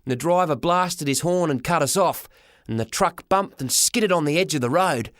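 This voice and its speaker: A man narrating a children's story in the first person as an expressive audiobook reader. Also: a little high, normal speed, no echo, studio quality.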